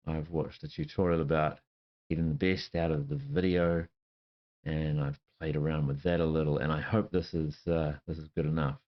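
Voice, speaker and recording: A man in his fifties from New Zealand, with a Kiwi accent worn down by years abroad, introducing himself in a halting, unscripted way full of fillers and self-corrections. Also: very deep voice, level tone, highs cut off. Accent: New Zealand, softened by years abroad. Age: 50s. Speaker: channel host. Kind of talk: casual self-introduction to camera. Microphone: laptop with webcam and desk microphone.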